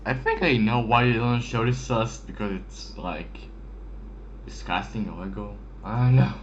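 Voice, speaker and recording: A man's voice: fairly low pitch, unhurried, dull audio, slight background noise. A man giving casual play-along commentary on a video game. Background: video game ambience.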